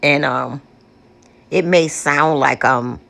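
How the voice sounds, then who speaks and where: A woman with a deep, coarse voice, slowly and gently calling out to spirits on her front porch and then chatting casually with her viewers. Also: vocal fry. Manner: gentle, pleading, repetitive calling out. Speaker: paranormal vlogger. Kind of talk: slow, hushed spirit invocations mixed with casual chat to viewers. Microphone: external microphone.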